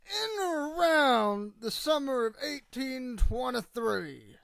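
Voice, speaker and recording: A crotchety, boastful ancient man of radio comedy. The voice is high and gravelly and swings widely as he rambles through crude folksy tales. The sound is dry and close. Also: animated, takes it slowly, a bit bright, no echo, exaggerated voice. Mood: nostalgic, unbothered. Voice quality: creaky, gravelly old-timer voice. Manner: rambling, cantankerous, folksy storytelling with profanity. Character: crotchety, crude, boastful world's oldest man, born 1824. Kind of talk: comedic radio theatre interview.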